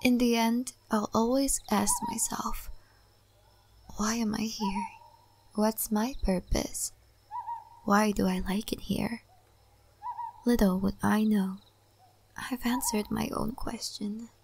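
A woman playing a calm, wise, mysterious guide of a spirit forest in an ASMR roleplay, speaking gently and softly, often in a breathy whisper, with pauses. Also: mid-range voice, background noise. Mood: gentle, musing. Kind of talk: soft-spoken, half-whispered ASMR roleplay.

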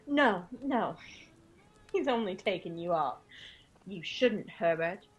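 Very breathy, slow-speaking anxious mother. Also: fairly low pitch, lively intonation, dull audio, full character voice. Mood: anxious, chiding. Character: anxious, gently scolding mother, curious and easily startled. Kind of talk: radio drama acting.

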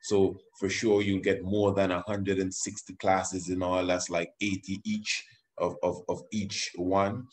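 Very low-voiced man presenting a school program. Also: little pitch movement, measured pace. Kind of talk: informal promotional commentary. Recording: faintly noisy voice recording.

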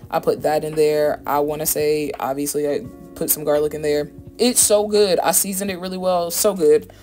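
A woman's voice: deep voice, steady tone, moderate pace, mellow. An American woman giving a casual, chatty voice-over for a home cooking vlog, informal and slangy. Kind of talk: casual voice-over narration of a recipe. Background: background music.

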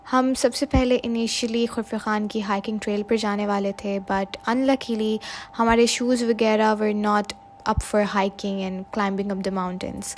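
A woman's voice: mid-range voice, slight background noise. A woman vlogger giving a casual voice-over narration, often switching between two languages mid-sentence. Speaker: travel vlogger narrating a road trip.

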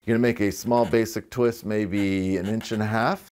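A middle-aged man giving a relaxed, chatty balloon-twisting lesson in a living room, with wry asides and a lapel mic. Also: fairly low pitch, normal speed, smooth. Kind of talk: casual tutorial demonstration. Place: living room with fireplace, indoors.

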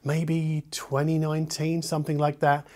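Middle-aged man with a slightly high voice. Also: completely flat delivery, moderate pace, a little mellow. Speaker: audio review channel host. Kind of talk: explanatory talk to camera. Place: treated living room indoors. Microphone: omnidirectional lavalier.

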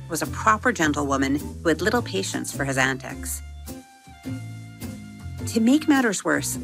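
A woman hosting a podcast, narrating a bedtime story for children in a gentle, expressive storytelling manner. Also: low-pitched, vocal fry.

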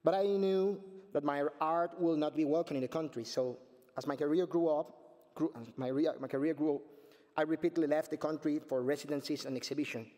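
A Cuban man in his forties with a Spanish accent, reading a prepared speech from a podium in an earnest, measured way and restarting words now and then. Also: on the high side, steady tone, dark tone. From Cuba. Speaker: panel speaker, artist. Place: conference stage at a podium. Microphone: podium microphone. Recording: long hall reverb.

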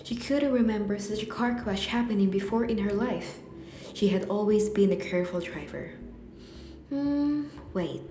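A woman's voice: medium pitch, animated, moderate pace, mellow. A woman reading scripted narration for a radio drama, in an echoey room. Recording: echoey room, faint ambient noise.

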